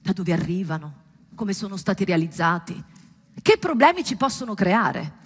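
A woman delivering a theatrical monologue as a stage performer, in a dramatic, deliberate and emphatic manner. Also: mid-range voice, animated, slightly rough.

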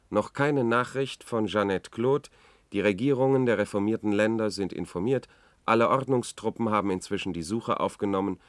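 An actor playing a troubled news editor, recounting events in a measured, reflective way in a 1970s German radio drama. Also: male voice, fairly low pitch, dry recording, natural voice. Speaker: radio play narrator.

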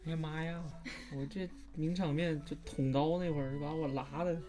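A man's voice: a little high, steady tone, moderate pace, dark tone, soft background music. A man, a voice actor, chatting and joking casually as an interview guest.

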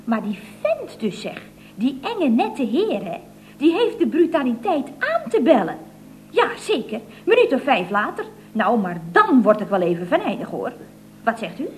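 High, swooping, chatty secretary. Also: female voice, wildly swooping pitch, moderate pace, darkish tone, low-quality recording. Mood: excitedly explaining. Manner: chatty, sing-song, full of tag questions. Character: chatty, indignant single female secretary, feisty and quick-tempered. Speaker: secretary character in a radio comedy serial. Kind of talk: 1970s radio comedy acting. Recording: old radio recording with slight noise.

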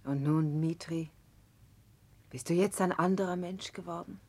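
An actress playing a concerned, gentle gentlewoman in a radio drama, with a husky voice. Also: fairly low pitch, measured pace. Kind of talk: German radio play acting.

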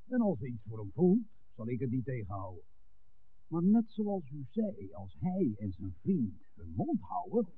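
Blunt, hearty innkeeper dad male actor, mid-pitched and animated, old radio drama with tinny, hissy sound. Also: close to an everyday voice. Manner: blunt, folksy. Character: gruff, hearty village innkeeper, blunt middle-aged father. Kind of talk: 1960s Dutch radio play acting.

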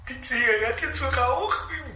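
A man's voice: very high voice, a little slow, sharp tone, a little hiss or hum, heavily acted. An actor in a radio drama playing a needling, ironic man who turns evasive when questioned. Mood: evasive, defensive. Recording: echoey, distant, music underneath.

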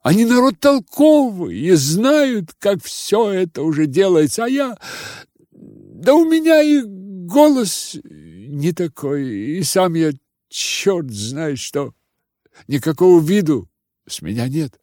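Man acting a flustered, high-pitched timid young seminarian. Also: very animated, mellow, no room sound, studio quality, heavily acted. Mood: nervous pleading. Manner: halting, stammering, wheedling. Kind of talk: audiobook character voice.

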